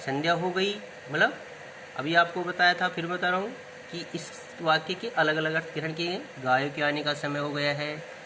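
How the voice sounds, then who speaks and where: A man's voice: fairly high pitch, steady tone, moderate pace, sharp tone, a little hiss or hum, poor audio. A man teaching a lesson, explaining word meanings step by step and repeating key terms. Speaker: Hindi literature teacher.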